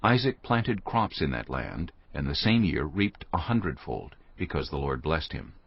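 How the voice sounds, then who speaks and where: A man's voice: very deep voice, sing-song, normal speed, husky. A man narrating a dramatized scripture reading in a solemn storytelling style. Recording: dull recording over soft music.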